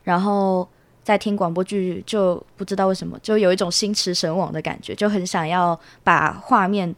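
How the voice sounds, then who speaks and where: A woman's voice: lowish voice, steady tone, normal speed, no room sound. Young Taiwanese woman with a dry, close sound. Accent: Taiwanese Mandarin. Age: young adult. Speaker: student interviewee. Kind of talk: casual interview answers.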